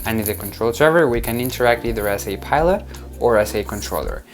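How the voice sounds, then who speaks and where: A man's voice: medium pitch, expressive, fast. A man with a mid-range voice hosts a casual walkthrough. He explains each step in an informal, instructional way. Music plays behind his narration. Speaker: tutorial host. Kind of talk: casual screen-recorded app walkthrough. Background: background music.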